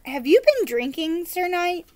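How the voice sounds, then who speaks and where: A woman voicing a sharp, suspicious village mother from a children's storybook, snappy, wary and theatrical. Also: very high-pitched, sing-song, a little slow, voice pushed up, heavily acted. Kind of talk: children's storybook read-aloud character voice.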